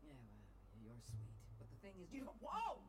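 A man playing a chatty, sentimental robot crewman in a game cutscene, animated and folksy. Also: medium pitch, moderate pace, faint music behind. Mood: wistful, teasing. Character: chatty sentimental robot-bodied crewman missing his human body. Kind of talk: video game cutscene acting.

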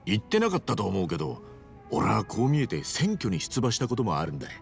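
A man's voice: on the low side, wildly swooping pitch, fast talker, soft background music, exaggerated voice. An actor playing a boisterous, big-hearted ex-yakuza man in a video game, theatrical and earnest. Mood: casual, proud. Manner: theatrical, earnest, rough-and-ready. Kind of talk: Japanese video game voice acting.

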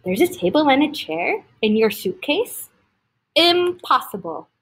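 Woman voicing a nosy, doubting storybook animal, high. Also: very animated, a little slow, a bit bright, slightly rough, full character voice. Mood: doubtful. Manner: blunt, questioning.

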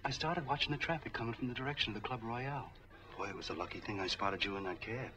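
An actor playing an eager, friendly street peddler in a 1940s film, chatty, slangy and obliging. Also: male voice, mid-range voice, fast talker, close to an everyday voice. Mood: urgent, confiding. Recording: faintly noisy 1940s film soundtrack.

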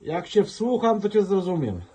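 A man's voice: sits high, big pitch swings, normal speed, heavy background noise. A man working as an amateur radio operator, chatting in a friendly, easygoing way during a radio contact. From Poland. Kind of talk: casual ham radio contact.

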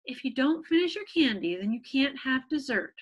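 A woman's voice: high-pitched, animated, normal speed, slightly rough, highs cut off. A woman voicing a firm parent pea in a picture book, emphatic and instructing. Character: parent peas, mother and father, firm about rules.

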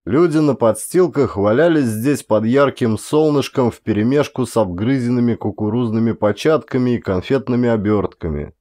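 A man's voice: lowish voice, mellow. A middle-aged man reading a short story as an audiobook narrator, in a dramatic scripted style.

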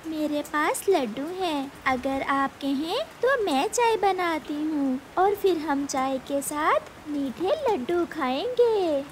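Cartoon mother bird, female performer, very high pitch, slow soothing delivery, gentle and earnest. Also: expressive, a little hiss or hum. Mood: polite. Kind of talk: Hindi animated moral-story dubbing.

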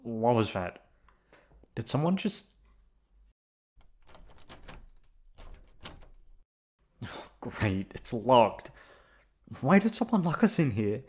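A young man playing a gruff but affectionate, protective delinquent student in an intimate ASMR roleplay, talking in a casual, foul-mouthed way. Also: medium pitch, big pitch swings, unhurried, a little mellow. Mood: startled, annoyed.

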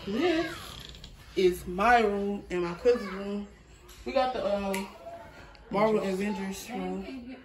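Young woman doing a casual house tour vlog, unhurried, echoey rooms, repeats herself, talks to y'all. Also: mid-range voice, recorded from a distance. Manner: chatty, repeats phrases, addresses viewers as y'all. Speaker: vlogger giving a house tour. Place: indoors walking through a large house. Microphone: handheld phone. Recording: roomy, noisy, voice off from mic.

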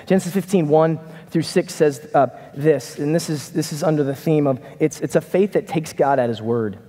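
A middle-aged man preaching a conversational sermon from a church stage, animated and casual. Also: a little high, normal speed. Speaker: pastor. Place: church stage in a large hall. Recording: reverberant hall.

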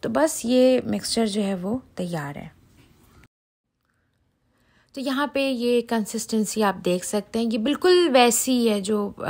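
A middle-aged woman hosts a casual home cooking voice-over, chatting directly to viewers. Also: on the high side. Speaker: home cooking channel host. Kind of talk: casual recipe voice-over tutorial.

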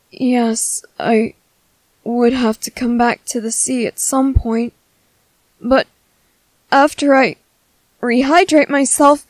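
A woman voicing a gentle, earnest young merman in a soft, halting, soothing ASMR roleplay. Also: a little high, no room sound.

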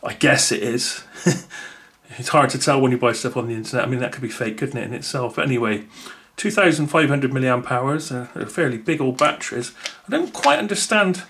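A man's voice: mid-range voice, animated, fairly quick, gravelly, fairly bright tone. A man, thinking aloud with many fillers as he unboxes a gadget at a table indoors. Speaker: unboxing video host. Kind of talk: casual unboxing commentary.

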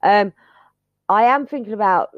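A woman's voice: mid-range voice, animated, moderate pace, dry recording, highs cut off. Chatty, self-mocking woman vlogger. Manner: chatty, self-mocking, scattered, starts with sung lines. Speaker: YouTube channel host. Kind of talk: rambling casual chat to subscribers.

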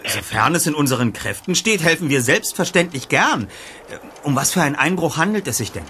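A man's voice: on the high side, big pitch swings, fairly quick, pushed and bright, a little hiss or hum. A man playing a self-assured, eloquent boy detective in an audio drama, speaking precisely and formally. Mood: businesslike, curious. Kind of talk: German audio drama acting and narration.

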